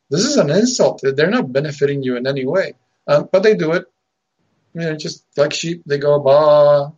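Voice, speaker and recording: Guy from argentina on a podcast, middle pitch, gets worked up and repeats himself, muffled lo-fi audio. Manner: animated, repeats words, chuckles between points. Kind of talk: casual podcast chat. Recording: dull lo-fi audio.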